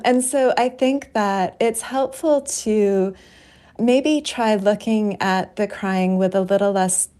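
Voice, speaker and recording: A woman's voice: fairly low pitch, a little mellow. A woman who is a poet and author, a guest on a radio interview, speaking thoughtfully and reflectively with light laughs.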